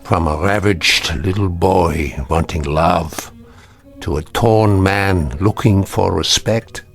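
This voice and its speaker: An older actor playing an old man who calmly and gravely defies his would-be killer, in a vintage television drama. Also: sits low, heavily acted. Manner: measured, grave, rising to bursts. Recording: vintage broadcast soundtrack with music.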